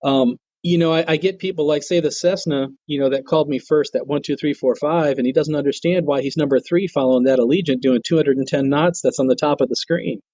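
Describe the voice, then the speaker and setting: A man with a mellow, relaxed voice talks as an interview guest about his job. He is earnest and folksy, explaining things conversationally. The sound is dry and a little muffled, like modest interview audio. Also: fairly high pitch, brisk, few pauses, dry recording. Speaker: guest, air traffic control tower manager.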